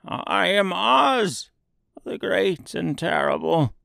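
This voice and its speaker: A man's voice: on the high side, expressive, very slow, creaky, no echo, clean studio sound, pitched above the performer's own voice, heavily acted. A man voicing a timid little old humbug wizard in a storybook, speaking meekly with a tremble. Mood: frightened, pleading. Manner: trembling, meek.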